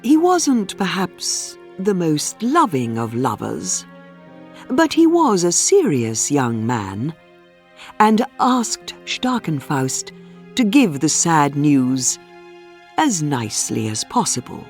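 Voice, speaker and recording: A woman reading a scripted storybook for English learners, with measured, clearly phrased storytelling. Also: sits low, huge pitch swings, a little mellow, faint music behind. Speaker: audiobook narrator.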